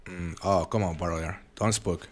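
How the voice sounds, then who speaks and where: A man's voice: low-pitched, moderate pace. A young man with a foreign accent, live-streaming a hunting game and chatting casually with his viewers. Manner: relaxed, chatty, rambling with frequent restarts. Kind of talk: live game stream commentary reading chat.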